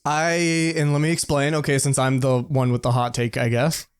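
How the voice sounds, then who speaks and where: A man's voice: medium pitch, little pitch movement, normal speed, clear, resonant tone. A man co-hosting a podcast in casual, slangy, opinionated banter about video games. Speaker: podcast co-host.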